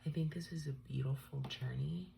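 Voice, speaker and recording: A woman with a stuffed-up cold, doing a tarot reading in a soft, often half-whispered, musing way. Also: deep voice, steady tone, a little fast, vocal fry, heavy background noise. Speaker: tarot reader. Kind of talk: unscripted tarot reading for viewers.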